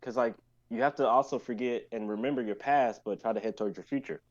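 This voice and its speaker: A man's voice: medium pitch, fast. Rambling man, slightly muffled sound. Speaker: member of a writing and performing group. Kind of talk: casual group chat on a live stream.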